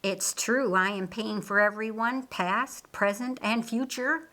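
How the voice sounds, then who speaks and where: A woman voicing a calm, assured male religious teacher in an audiobook, speaking deliberately and stressing key words. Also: fairly high pitch. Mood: earnest. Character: Jesus, a man, calm, assured, kindly teacher. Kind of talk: audiobook character dialogue.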